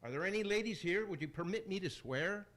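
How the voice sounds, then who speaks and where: Vain theatrical tyrant's ghost from hell, played by a man, declaiming radio-play rhymes with big pitch swings. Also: animated, brisk, a bit bright. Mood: vain, excited. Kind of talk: amateur radio play acting.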